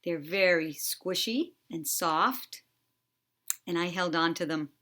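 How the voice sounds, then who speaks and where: A middle-aged woman, an expressive storyteller reading aloud for young children. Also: fairly low pitch, slow.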